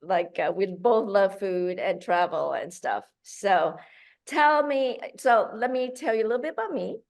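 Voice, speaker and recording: A woman from Malaysia speaking in an interview as a guest. Her talk is animated and full of fillers and restarts. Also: mid-range voice, unhurried. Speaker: guest, founder of a kids' cooking program.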